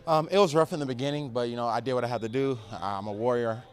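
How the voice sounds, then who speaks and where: A man's voice: mid-range voice, quick pace. A young man answering post-fight questions in terse, confident short statements. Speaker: fighter interviewed after a win. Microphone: handheld interviewer mic.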